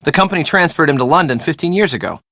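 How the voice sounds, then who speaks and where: Casual young american dude in a video game, defensive n glib, really animated intonation. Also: male voice, a little high, fast talker, dry recording, studio quality, heavily acted. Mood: guarded. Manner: casual, conversational. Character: defensive, glib young American man, groom's best friend. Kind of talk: video game dialogue acting.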